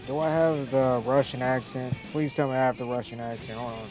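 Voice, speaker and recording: A man's voice: medium pitch, steady tone, brisk, over music. A young man streaming a game, chatting casually, rambling, talking to himself, and swearing often. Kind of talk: casual gaming stream chat.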